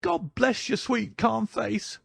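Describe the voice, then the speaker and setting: A man's voice: high-pitched, moderate pace, gravelly, no echo, studio quality, voice pushed up. A man voicing a frightened, effusive old woman housekeeper in an audiobook, emotional and pleading. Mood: tearful gratitude. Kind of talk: audiobook character voice.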